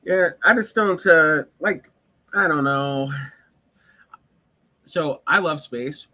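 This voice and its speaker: A man's voice: on the high side, takes it slowly, bright, forceful tone. A man co-hosting a casual live podcast chat, sick with a cough, rambling and restarting his sentences. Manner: rambling, hesitant, many restarts. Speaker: podcast co-host.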